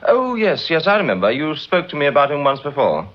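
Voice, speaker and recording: An actor playing a guarded, wry playwright in a mid-century radio drama, clipped and politely sardonic. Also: male voice, on the high side, big pitch swings, brisk. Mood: wary, dry. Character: guarded, wry English playwright with a touchy streak. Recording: dull, muffled vintage radio recording.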